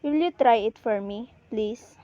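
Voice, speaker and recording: A woman playing an anxious, sickly, demanding wife in an amateur radio play, fretful, halting and insistent. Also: a little high, no room sound, highs cut off, lightly acted. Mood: nervous, complaining.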